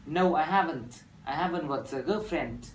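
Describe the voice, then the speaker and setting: A twenty-one-year-old university student from Vietnam with a Vietnamese accent, reciting an English practice dialogue in a measured, rehearsed way with small fillers. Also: male voice, fairly high pitch. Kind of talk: scripted classroom dialogue practice. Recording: echoey room from far off, faint noise.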